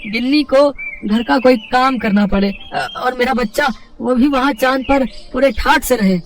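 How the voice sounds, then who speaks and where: A man's voice: extremely high pitch, moderate pace, distant mic, slight background noise. A man voicing a poor, simple village husband, an eager and stubborn dreamer who stammers and complains, in a moral story. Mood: insistent.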